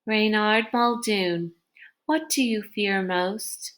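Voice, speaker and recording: Storybook villain machine played by a woman reader, slow and seductive. It coaxes and insinuates, drawing the listener in with measured persuasion. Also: medium pitch, lightly acted. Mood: calm, commanding. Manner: coaxing, insinuating. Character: seductive, whispering mind-reading machine, coaxing and insidious.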